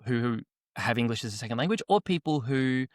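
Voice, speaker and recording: A man co-hosting a language podcast in casual chat. He speaks softly and deliberately, pausing to search for his words. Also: medium pitch, lively intonation, dry recording, clean studio sound. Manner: measured, searches for words, soft-spoken. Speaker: podcast co-host.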